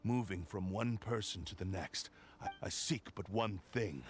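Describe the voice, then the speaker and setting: A man's voice: fairly low pitch, a little slow, lightly acted. A man voicing an arrogant, vengeful cursed sword spirit in a video game, haughty and menacing in theatrical declamation. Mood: boastful, brooding. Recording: music behind the voice. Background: game music.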